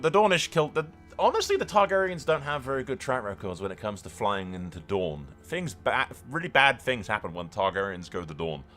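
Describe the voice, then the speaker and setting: A man hosting a game roleplay series, telling the story as he plays in an excitable, dramatic way. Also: mid-range voice. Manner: excitable, dramatic, mild swearing. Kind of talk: lively game playthrough commentary and storytelling.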